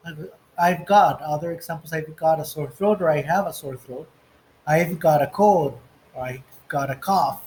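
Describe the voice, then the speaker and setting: A man's voice: on the high side, slight background noise, rough recording. A man teaching an English lesson, going through textbook exercises step by step and reading the example phrases aloud.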